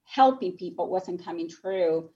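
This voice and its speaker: A woman's voice: fairly low pitch, lively intonation, measured pace, a little mellow, highs cut off. A woman from Nicaragua, speaking English as a second language, telling her story as a guest on a radio talk show in an earnest, reflective way. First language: Spanish. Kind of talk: radio interview.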